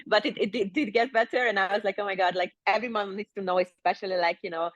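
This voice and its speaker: A woman's voice: medium pitch, steady tone, fast talker, no room sound, highs cut off. A woman in her thirties from Hungary, chatting as a podcast guest and rambling with lots of filler words. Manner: rambling, heavy with fillers like "like" and "you know". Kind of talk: casual interview chat.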